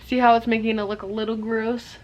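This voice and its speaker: A young woman giving a chatty, rambling makeup tutorial, her voice a little hoarse from a cold. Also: on the high side, flat delivery, a little fast, a little mellow. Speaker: makeup vlogger.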